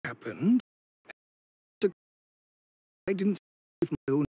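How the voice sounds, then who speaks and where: A man playing an older, formal and apologetic gentleman supplier with a husky voice in a video game. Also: on the high side, big pitch swings, slow pace, dry recording, studio quality, exaggerated voice. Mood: uneasy.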